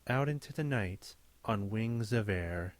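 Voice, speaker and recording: Casting: male actor as a stately spirit of the past, lowish voice, very dry sound, solemn audio-play delivery. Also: animated, dry recording. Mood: calm, solemn. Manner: measured, solemn. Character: calm, stately, guiding ghost of the past. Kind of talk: radio drama acting.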